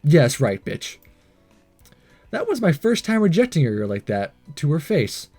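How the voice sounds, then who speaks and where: A man's voice: a little high, wildly swooping pitch, measured pace, dark tone, soft background music. A man doing a let's play, reading a visual novel aloud with casual, sarcastic asides and the odd stumble over words. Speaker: let's player.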